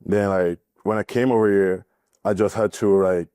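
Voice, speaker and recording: A young man from Cameroon, a college basketball player giving a podcast interview, speaking haltingly with many fillers. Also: deep voice, steady tone, warm tone, clean tone, no echo, clean studio sound. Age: young adult. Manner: halting, filler-heavy, nervous.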